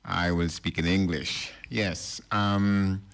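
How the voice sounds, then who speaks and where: A middle-aged man from Barbados, a radio studio guest, speaking in a measured, formal and polite way. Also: low voice, fairly even intonation, gravelly, a bit bright, clear, resonant tone. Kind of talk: radio interview.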